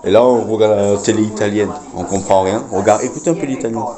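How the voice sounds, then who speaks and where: A man's voice: on the low side, steady tone, fast, crowd noise. A man chatting casually to his viewers as a vlogger, speaking informally. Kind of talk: casual vlog chat to viewers.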